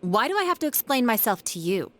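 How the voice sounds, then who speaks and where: A haughty woman in a video game drama. Her voice is high and rises and falls sharply. She sounds curt, indignant and affronted. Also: big pitch swings, fast talker. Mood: indignant, alarmed. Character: haughty, indignant adult woman under threat.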